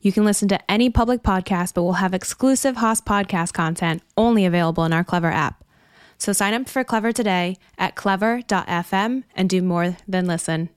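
A woman's voice: mid-range voice, brisk, smooth, no echo, clean studio sound. A woman reading a scripted, upbeat promotional ad. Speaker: ad announcer. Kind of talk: scripted ad read.

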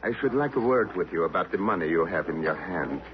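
An actor playing a menacing gunman who talks with measured mock courtesy in a 1950s radio drama. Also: deep voice, animated. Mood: polite menace. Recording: dull, very noisy old radio recording.

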